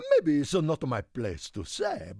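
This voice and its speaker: A man voicing a small, excitable, proud Italian car in a video game, with an Italian accent and theatrical broken English. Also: on the high side, slow pace, no echo, clean studio sound, exaggerated voice. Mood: friendly, confiding. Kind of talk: animated video game character lines.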